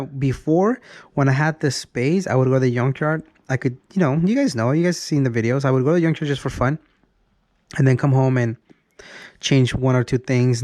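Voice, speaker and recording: A man's voice: mid-range voice, darkish tone, no room sound, studio quality. A man in his early thirties talking in a casual, rambling vlog style, often repeating and restarting his phrases. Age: early 30s. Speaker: car vlogger.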